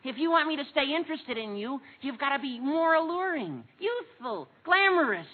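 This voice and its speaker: A performer playing an excitable, gawky teenage schoolboy with gee-whiz earnestness in a vintage radio comedy. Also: high-pitched, normal speed. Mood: earnest, dazed. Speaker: actor in radio sitcom. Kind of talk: 1940s radio comedy acting. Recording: muffled old radio, faint noise.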